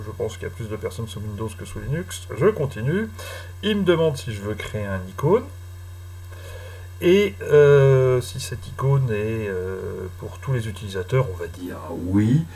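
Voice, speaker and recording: A man giving a casual screen-recorded software tutorial, talking slowly with many hesitant fillers. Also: mid-range voice. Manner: hesitant, many "euh" fillers, chatty and apologetic. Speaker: tutorial host.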